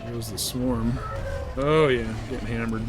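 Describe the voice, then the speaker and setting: A man's voice: mid-range voice, fairly even intonation, unhurried, over music, rough recording. A man livestreaming casual, slangy gameplay commentary in a laid-back way.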